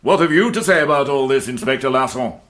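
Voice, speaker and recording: A man's voice: medium pitch, animated, fast talker, fairly bright tone, lightly acted. A man acting in a radio drama as a stern, pompous and irascible judge, blustering and imperious. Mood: dry, stern.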